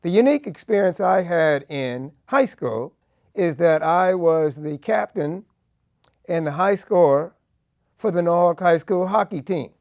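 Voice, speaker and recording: An American man in his seventies recounts his own past as an oral history. His voice sits a little high for a man and crackles with vocal fry. He speaks slowly and deliberately, in measured short phrases. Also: lively intonation, darkish tone, dry recording, clean studio sound. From Connecticut, United States. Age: 70s. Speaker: law professor and civil rights lawyer. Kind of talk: personal oral history storytelling.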